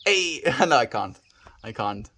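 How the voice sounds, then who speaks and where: A man with a South African accent chats live with his viewers in a relaxed, rambling way. He calls people dude and bro and often trails off and restarts his thoughts. His pitch sits right in the everyday middle for a man. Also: takes it slowly. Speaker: livestreamer. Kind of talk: casual livestream chat answering viewers.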